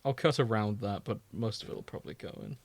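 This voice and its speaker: A man's voice: lowish voice, expressive, fast talker, creaky, no room sound. A man co-hosting a podcast, chatting casually and riffing on stories with dry, teasing humour. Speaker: podcast co-host. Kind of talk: casual chat, reading and riffing on fan fiction.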